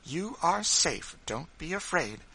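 A kindly politician's wife from a storybook, as a man reads her, gentle and earnest. Her voice is lifted high, with a bright tone and soothing delivery. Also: big pitch swings, measured pace, breathy edge, full character voice, rough recording. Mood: compassionate.

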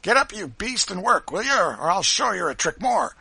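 A man's voice: mid-range voice, lively intonation, moderate pace, vocal fry, sharp tone, husky, dry recording, voice pushed up, full character voice. A man voicing a brutal, spiteful plantation driver in an audiobook, guttural, with heavy dialect speech and barked threats. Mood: menacing.

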